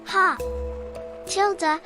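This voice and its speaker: A woman's voice: extremely high pitch, fairly even intonation, extremely slow, music in the background, pitched above the performer's own voice, heavily acted. A woman voicing a mischievous, teasing male squirrel in a storybook, with a chattering, taunting manner.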